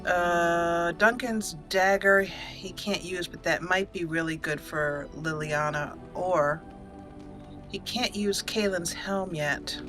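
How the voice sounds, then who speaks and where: A woman's voice: lowish voice, steady tone, slow. A woman hosting a casual let's play, chatty and thinking aloud as she goes. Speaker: host, gamer. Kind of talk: casual let's play commentary with roleplayed dialogue. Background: soft game music.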